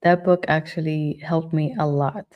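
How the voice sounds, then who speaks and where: A woman's voice: sits low, fairly even intonation, unhurried, muffled recording. A woman chatting candidly as a podcast guest, reflective and open about her past relationships. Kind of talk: casual chat.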